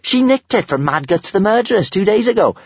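A man voicing a bold, breezy young Viking girl with an excitable manner. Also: high voice, brisk, slightly rough, no room sound, voice pushed up, full character voice. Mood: matter-of-fact. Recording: dull lo-fi recording.

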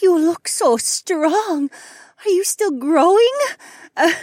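A woman playing an emotional, flustered middle-aged mother in an audiobook, halting as she talks. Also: very high-pitched, lively intonation, darkish tone, no room sound, studio quality, heavily acted. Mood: shaken, tender. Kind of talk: audiobook character acting.

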